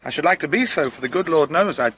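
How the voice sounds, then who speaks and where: An actor playing a humble, earnest police sergeant in a radio drama, telling events deferentially and carefully. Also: male voice, on the high side, animated, fast, bright, forceful tone, slight background noise. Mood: modest.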